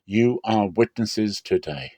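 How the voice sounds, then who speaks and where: A man's voice: on the low side, expressive, slow, dark tone, dry recording. A man voices a dignified landowner addressing townsfolk in a dramatic scripture reading. He proclaims his business formally and with emphasis, in a very dry, close recording. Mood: proclaiming. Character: dignified male landowner making a formal public declaration.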